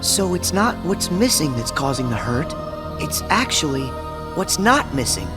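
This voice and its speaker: A kind, stubborn teenage hero in a game cutscene. His voice sits high and swings widely, the lines delivered slowly and with quiet resolve. A long reverb and a soft music bed surround him. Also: sing-song. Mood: wistful. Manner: earnest, resolute, quietly pained. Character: earnest spiky-haired teenage boy hero, stubborn and kind.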